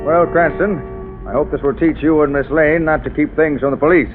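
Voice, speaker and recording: An actor playing a gruff, self-assured police commissioner in a 1930s radio drama, with a brusque manner. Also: male voice, on the high side, normal speed, over music, natural voice. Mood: smug. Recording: tinny 1930s radio broadcast.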